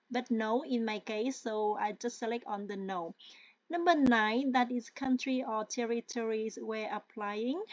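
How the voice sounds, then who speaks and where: A woman talks through a tutorial with patient, hesitant pacing and frequent check-ins with her listener. Her voice sits fairly high and sounds dry and close, with no room around it. The recording itself is dull and a little lo-fi. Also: no echo. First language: Vietnamese. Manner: hesitant, with many fillers. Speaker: tutorial presenter. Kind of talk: step-by-step screen-share walkthrough.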